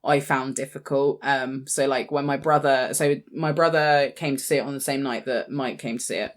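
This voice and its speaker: A woman's voice: very deep voice, fairly even intonation, normal speed. A British woman in her thirties talking as a podcast co-host in a casual, informal and self-deprecating way. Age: 30s. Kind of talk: casual chat.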